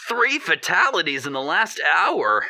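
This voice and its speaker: A sardonic co-host who taunts with playful, cruel glee. The voice is bright and very animated, recorded close and dry. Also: medium pitch, unhurried, dry recording, full character voice. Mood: ominously matter-of-fact. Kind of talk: radio drama acting in presenter banter.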